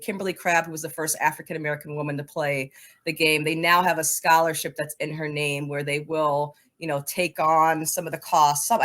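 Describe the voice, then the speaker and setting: A woman's voice: deep voice, a bit bright. A woman speaking as an interview guest in a conversational, emphatic way, repeating words to drive points home. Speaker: podcast guest, soccer coaching advocate.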